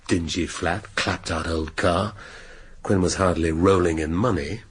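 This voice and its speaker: An actor playing a senior British police inspector in a radio drama, dry and authoritative, asking pointed, deliberate questions. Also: male voice, deep voice, steady tone, husky, exaggerated voice. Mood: probing, dry.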